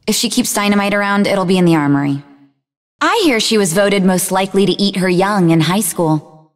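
An actress voicing a sarcastic, sharp-tongued, bookish young half-demon woman in scripted video game dialogue, with a dry delivery. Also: medium pitch, in a large hall, lightly acted. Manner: dry, sardonic.

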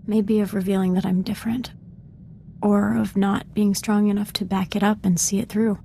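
A woman's voice: medium pitch, level tone, normal speed, gravelly, dark tone, slight background noise, lightly acted. A woman playing a humble, self-doubting young Jedi apprentice in a video game, speaking formally and earnestly.

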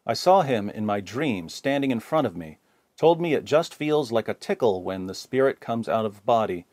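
A man reading viewer comments aloud in a plain, steady voice. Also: medium pitch, normal speed, no room sound, studio quality.

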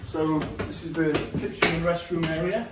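A man's voice: a little high, fairly even intonation, normal speed. A middle-aged man giving an informal guided tour, explaining things politely. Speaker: air traffic services manager, tour guide. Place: small office rooms and stairwells. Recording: roomy, distant mic, clearly noisy.

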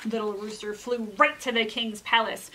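A young woman reads a children's story aloud as a library storyteller. Her voice is high and bright, and her delivery is dramatic, deliberate and full of emphasis. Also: fairly even intonation, a little hiss or hum. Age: young adult. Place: indoor library room with bookshelves.

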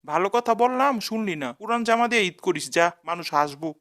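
A woman's voice: mid-range voice, lively intonation, quick pace, fairly bright tone, close to an everyday voice. A woman with a Bangladeshi accent voicing a scheming, mocking older brother in a cartoon comedy. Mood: taunting. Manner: mocking, colloquial.

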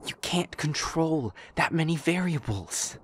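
A man playing an impassioned, defiant strategist in an audio drama, loud, urgent and confrontational. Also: fairly high pitch, lively intonation, unhurried. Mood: urgent, indignant. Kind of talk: dramatic audio roleplay acting. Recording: echoey reverb with noisy ambience.

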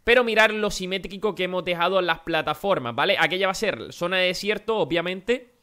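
Fast, bright-voiced Spanish man, nasal. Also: high-pitched, smooth. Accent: Spanish from Spain. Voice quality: nasal, worn-out voice. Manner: chatty, energetic, talks straight to viewers. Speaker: gaming youtuber. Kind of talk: casual gameplay commentary.

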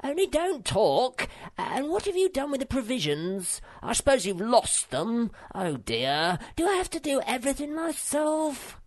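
A woman voicing a small, timid and bossy male creature in a storybook reading, squeaky and fretful. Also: big pitch swings, measured pace, exaggerated voice.

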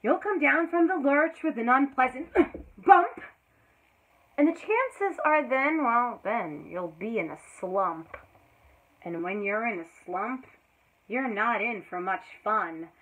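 A woman in her thirties, a teacher, reading a children's picture book aloud with dramatic swings between hushed lines and loud exclamations, recorded indoors on a close camera mic. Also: fairly high pitch, lively intonation, slow pace. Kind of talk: expressive read-aloud storytelling for children. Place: small indoor room before a green backdrop. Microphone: built-in camera mic at close range. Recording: tinny phone-like audio.

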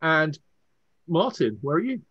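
A man's voice: fairly high pitch, sing-song, unhurried, slightly rough, dull audio. A man with an English accent, casually explaining a tabletop roleplaying game as its game master.

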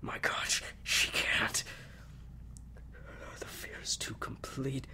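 A man playing a sadistic, clinical mad scientist villain who savors fear, recording a halting audio-drama log. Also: whispered. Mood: hushed awe. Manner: slow, halting, savoring, hushed at times. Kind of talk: acted audio log monologue.

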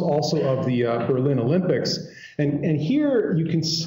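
A man's voice: mid-range voice, warm tone. A man giving a keynote lecture in a conversational, digressive way with wry asides. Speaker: keynote speaker, academic lecturer. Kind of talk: conference lecture to an audience. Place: indoor lecture hall. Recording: echoey room, dull muffled sound.

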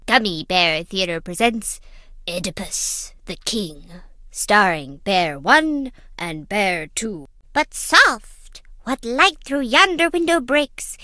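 Bright-voiced mock-dramatic gummy bear theater presenter. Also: female voice, mid-range voice, no room sound, full character voice. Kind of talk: playful theatrical play-acting.

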